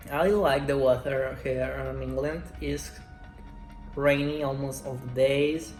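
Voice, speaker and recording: A man in his twenties, a Spanish speaker, answering interview questions in slow, careful English. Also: mid-range voice, steady tone, a little mellow. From Mexico. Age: 20s. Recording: roomy echo, faint music.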